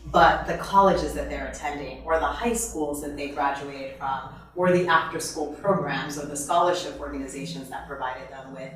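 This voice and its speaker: A woman in her thirties from Haiti, a nonprofit founder answering interview questions in an earnest, measured way with fillers and restarts. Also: sits low, a bit bright. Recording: roomy, from far off.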